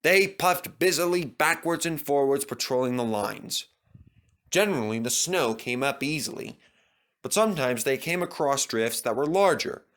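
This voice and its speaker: A man's voice: medium pitch, expressive, moderate pace, a bit bright. A man reading a scripted children's story as its narrator.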